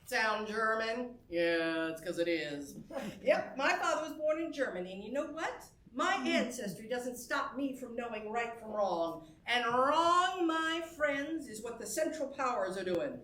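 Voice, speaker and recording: An actress playing a brassy, warm-hearted, forthright stage star in a musical reading, with a folksy, emphatic manner. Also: female voice, fairly high pitch, animated, measured pace, slight background noise. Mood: rousing, showy. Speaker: musical theatre performer. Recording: roomy stage sound, music behind.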